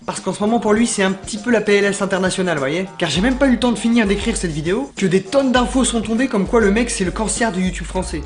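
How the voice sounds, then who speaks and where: Fast-talking sarcastic male ranter. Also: high-pitched. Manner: vulgar, sarcastic, mocking. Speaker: YouTube commentator. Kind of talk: scripted comedic rant. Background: background music.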